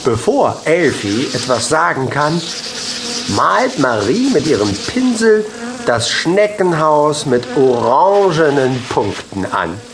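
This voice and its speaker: A man narrating a children's audiobook expressively, in short measured phrases. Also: a little high, sing-song, background noise. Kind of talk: scripted children's story narration.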